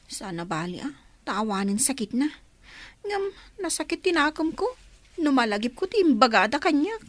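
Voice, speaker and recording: A woman's voice: high-pitched, sing-song, normal speed, no echo. An actress playing a chatty, teasing young woman in an Ilocano radio drama.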